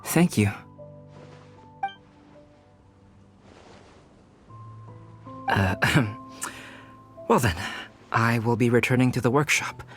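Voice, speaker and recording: An actor playing a polite, scholarly young alchemist in a video game, speaking in a measured, formal, understated way. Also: male voice, mid-range voice, animated. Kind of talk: video game cutscene acting. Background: music.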